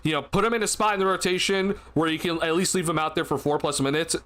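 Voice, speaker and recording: Young male streamer, tired and a bit stuffy, bright tense tone, rambling with fillers, desk mic. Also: on the high side, steady tone, fast. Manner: rambling, many fillers and restarts. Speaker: sports livestream host. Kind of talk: casual postgame livestream chat. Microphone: desk mic at a streaming setup.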